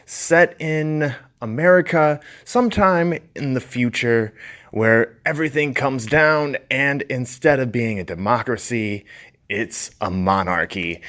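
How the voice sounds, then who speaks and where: Male podcast host, lower register, casual and informal, introducing and interviewing guests. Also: fairly bright tone. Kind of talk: scripted intro and interview chat.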